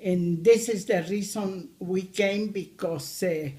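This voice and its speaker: Elderly Argentine-accented grandmother in her eighties, unhurried, halting delivery. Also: mid-range voice, dark tone. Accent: Argentine Spanish. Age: 80s. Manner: slow, hesitant, many pauses. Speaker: grandmother interviewee. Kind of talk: recorded family interview.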